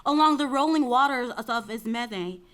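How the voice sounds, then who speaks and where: Casting: woman to play earnest counselor in a tragic chorus, fairly high voice, solemn formal delivery. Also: normal speed, fairly bright tone, clear, resonant tone, natural voice. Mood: prayerful. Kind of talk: dramatic reading of Greek tragedy.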